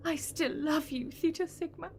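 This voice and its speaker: A woman's voice: high-pitched, moderate pace, a little mellow, slight background noise. A woman acting as a desperate, bitter renegade villainess in an audio drama, with a melodramatic delivery. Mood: desperate pleading. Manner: melodramatic, pleading.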